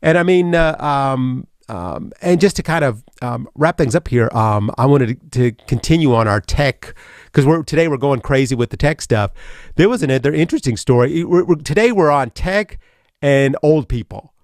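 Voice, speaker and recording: Man, radio show host, casual chat, mid-pitched voice, dead dry studio sound, meandering speech. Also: lively intonation, normal speed, a little mellow, no room sound. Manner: rambling, many fillers and restarts.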